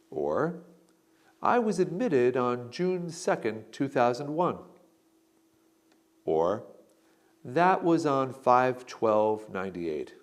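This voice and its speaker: Slow, deliberate man teaching phrases. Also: medium pitch. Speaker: English lesson narrator. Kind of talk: slow instructional model answers.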